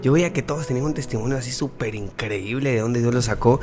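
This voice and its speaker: A man's voice: medium pitch, fast talker, a little mellow, faint music behind. A young Guatemalan man with a Guatemalan Spanish accent, a musician guest earnestly telling his faith story in a casual interview, often repeating words.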